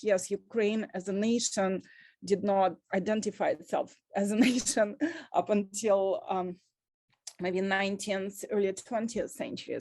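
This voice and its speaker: Halting woman. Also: medium pitch, warm tone, dry recording. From Russia. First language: Russian. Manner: earnest and halting, with many pauses. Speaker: panelist. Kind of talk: panel talk on a video call. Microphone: video call.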